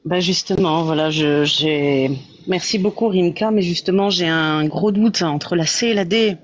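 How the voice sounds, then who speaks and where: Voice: mid-range voice, normal speed, dull audio. A quiz contestant on a live stream, talking casually and hesitantly. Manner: hesitant, many fillers.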